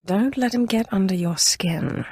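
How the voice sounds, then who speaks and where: An actress playing a commanding, cool-headed Sith sorceress in a video game, haughty yet idealistic, speaking in a poised, measured, imperious way. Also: female voice, medium pitch, expressive, warm tone, breathy edge, no echo, studio quality. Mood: calm, persuasive. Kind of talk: scripted video game dialogue acting.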